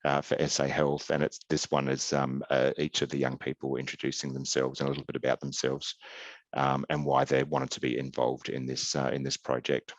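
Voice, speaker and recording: A middle-aged man giving a webinar slide presentation over a video call, halting, with many ums and restarts. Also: extremely low pitch, completely flat delivery, no echo. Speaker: health service presenter. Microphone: video call webcam.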